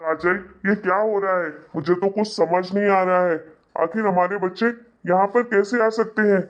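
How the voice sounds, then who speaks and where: A man's voice: sits high, close to an everyday voice. Defensive cartoon husband in dull lo-fi audio, man acting. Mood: bewildered, upset. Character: defensive adult son, husband and father. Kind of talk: animated family melodrama acting.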